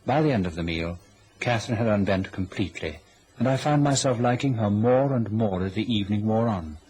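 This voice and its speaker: Anguished weak-willed son. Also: male voice, lowish voice, fairly quick, darkish tone, breathy edge. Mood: regretful. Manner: earnest, anguished. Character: weak, sensitive son, anguished and cowed by his mother. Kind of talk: old-time radio drama acting and confessional narration. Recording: noisy old radio drama recording.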